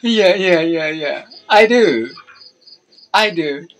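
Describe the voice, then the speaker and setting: A man's voice: fairly high pitch, animated, takes it slowly, a bit bright, slight background noise. Young Bangladeshi man, Bengali native, teasing video-call chat, easy laughter.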